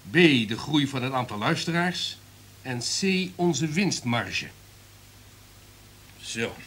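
An actor playing a ruthless, commanding radio station director in a radio drama, imperious and authoritative. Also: male voice, mid-range voice, fairly bright tone, slight background noise.

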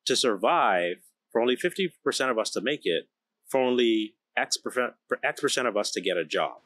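A man's voice: mid-range voice, expressive, a little fast, fairly bright tone, no echo. A man in his thirties from the Bahamas, telling his story candidly and emphatically in an interview on a close microphone. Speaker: interview guest, company founder and engineer. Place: large indoor electronics production floor, seated. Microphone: close podcast microphone on boom arm.

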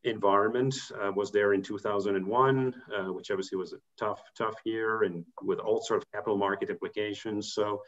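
A man's voice: lowish voice, steady tone, fairly quick, hardly any pauses. Belgian man on a muffled video call. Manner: thoughtful, many fillers and restarts. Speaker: corporate treasurer, guest. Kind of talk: career advice interview. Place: home room, indoors.

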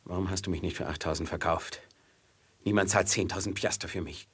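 Husky, brooding enslaved man. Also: sits low, steady tone, brisk, a bit bright, exaggerated voice. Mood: bitter. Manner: brooding, pleading. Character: proud, bitter enslaved man around thirty. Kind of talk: 1950s German radio drama acting.

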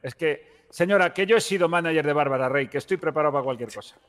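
Un hombre con acento de España interpretando en un juego de rol a un mánager fanfarrón y oportunista que insiste y repite frases con grandilocuencia. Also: male voice, a little high, fast, a bit bright, in a large hall, natural voice. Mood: grandiose, defensive. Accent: Spanish (Spain). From Spain. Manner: insistent, grandiose, repeats phrases. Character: brash, scheming male talent manager seeking TV fame. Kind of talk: improvised online roleplay.

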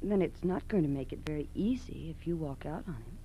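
An actress playing an ambitious, coolly menacing wife of a car thief in a 1940s American radio drama, assertive and pointed. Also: female voice, deep voice, lively intonation, a little fast, dark tone. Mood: coolly threatening. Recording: noisy, humming old radio recording.

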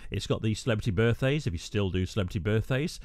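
A man's voice: on the low side, lively intonation, quick pace, a little mellow, dry recording, clean studio sound. A male radio presenter talking through a screen-recorded tutorial, chatty with wry asides and frequent "uh" fillers.

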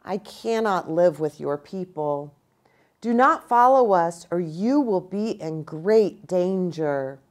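An American woman voicing a gentle, resolute young buffalo woman in a storybook, earnest and measured. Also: fairly low pitch, expressive. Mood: grave, warning.

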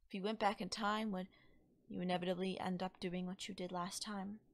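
A woman voicing a playful, cryptic, teasing witch in an ASMR audio roleplay, coy and given to musing pauses. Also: medium pitch, flat delivery, natural voice. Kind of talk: ASMR audio roleplay, acted straight to the listener.

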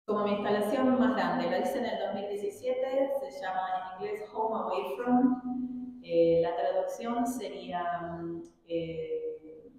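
Woman, Rioplatense accent, hesitant guest talk, slow, mellow low voice, far-off roomy sound. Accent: Rioplatense Spanish, slightly mixed after years abroad. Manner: hesitant, many filler sounds and restarts. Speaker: artist giving a guest talk to students. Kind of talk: informal talk with slides. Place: large university classroom. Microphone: room mic from a distance. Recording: distant, echoey hall sound.

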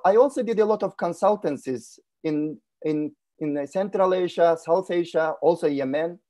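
A man's voice: on the high side, warm tone. Formal man on a video call. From Kyrgyzstan. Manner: formal and courteous, repeats words while searching for them. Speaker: guest speaker. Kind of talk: webinar presentation. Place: indoor room.

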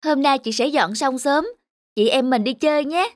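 A woman's voice: high-pitched, normal speed, a little hiss or hum, close to an everyday voice. A young woman voicing a kind, patient student tutor in a cartoon. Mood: gentle. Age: 20s. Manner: gentle, patient. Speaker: cartoon character. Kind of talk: cartoon voice acting. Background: clear background music.

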